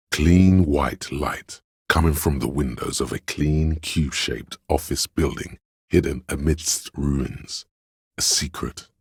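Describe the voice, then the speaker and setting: An actor playing an arty, grandiose inner voice of imagination in a video game, narrating theatrically and savoring each image in a gravelly, husky tone. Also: male voice, rumbling low, dry recording, studio quality, heavily acted. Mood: poetic, savoring. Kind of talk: dramatic second-person game narration.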